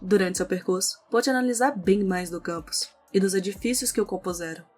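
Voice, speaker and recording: A woman's voice: medium pitch, a little fast, darkish tone, breathy edge. A woman with a Brazilian accent reading scripted narration for an audio novel. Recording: music under the voice.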